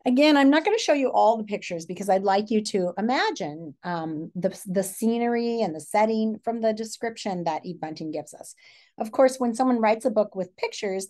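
A middle-aged woman giving a read-aloud lesson as a teacher, speaking with deliberate storytelling delivery and pausing to pose questions. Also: medium pitch, darkish tone. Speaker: teacher reading a picture book aloud. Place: small furnished living room or hotel room, indoors.

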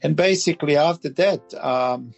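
A man in his fifties with a Balkan accent, talking casually and haltingly as an interview guest. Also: fairly high pitch, gravelly, dull audio, soft background music. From Bosnia and Herzegovina, former Yugoslavia. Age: 50s. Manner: halting, repeats words. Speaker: podcast guest. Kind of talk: casual interview talk, recounting.

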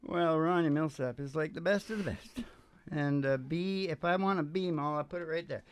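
A man's voice: fairly high pitch, normal speed, clear, resonant tone. An older man, a musician hosting a show, chats casually and meanders in a folksy way into a close stage microphone. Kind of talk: rambling casual stage banter. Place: small curtained performance stage indoors. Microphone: stage microphone on a stand, close up. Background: acoustic guitar.

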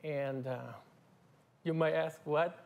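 A middle-aged man from Argentina, a guest musician, talking in a halting, casual way with fillers and restarts in a TV studio on a lapel mic. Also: fairly high pitch, steady tone, vocal fry, a little mellow, muffled recording. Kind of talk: casual interview talk. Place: TV studio set.

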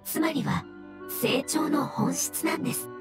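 A calm, learned jellyfish-like spirit in a fantasy game. It speaks high and slowly, with formal courtesy and measured phrases, over loud music. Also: female voice. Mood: calm, explaining. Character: polite, wise floating jellyfish fungus spirit, calm elder-like explainer. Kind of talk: Japanese game dub dialogue. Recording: loud background music behind voice.

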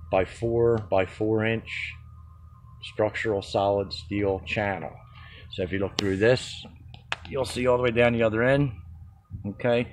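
Low-voiced man filming a backyard how-to. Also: slight background noise. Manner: practical, explains step by step with fillers. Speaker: contractor showing a fabrication method. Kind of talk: casual how-to walkthrough. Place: backyard, outdoors. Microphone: handheld phone.

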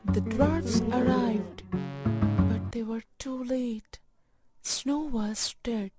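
A woman reading a scripted children's fairytale in deliberate short phrases, as a storyteller to young children. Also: on the high side, slightly rough, no echo, clean studio sound. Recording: loud music and effects over voice.

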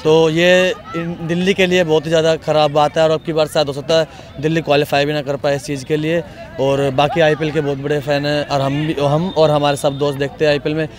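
A man's voice: a little high, flat delivery, brisk. A young man standing on an outdoor sports ground, talking emphatically and at length into a handheld mic. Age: young adult. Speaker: interviewee. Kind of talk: casual opinion.

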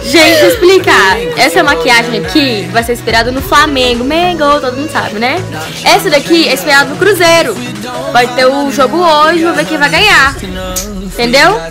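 A woman's voice: sits high, expressive, a little fast, music in the background. A young woman with a Brazilian accent casually explaining makeup to a handheld camera in a home. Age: young adult. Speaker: makeup artist and blogger. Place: home kitchen area. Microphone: handheld camera at arm's length.

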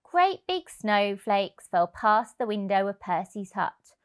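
Woman reading kids story, takes it slow, really animated, highish voice. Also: smooth. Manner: expressive, deliberate. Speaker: childminder reading to children. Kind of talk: storybook narration for young children. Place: indoor room, on a sofa. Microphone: lapel mic.

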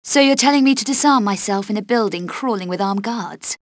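A woman's voice: medium pitch, a little fast, no echo, lightly acted. An actress playing a cool, sarcastic female adventurer with clipped, dry lines in a video game. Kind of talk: video game cutscene voice acting.

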